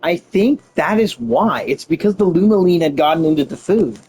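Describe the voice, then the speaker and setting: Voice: medium pitch, expressive, moderate pace, a little mellow, a little hiss or hum. A game streamer giving casual commentary during a playthrough.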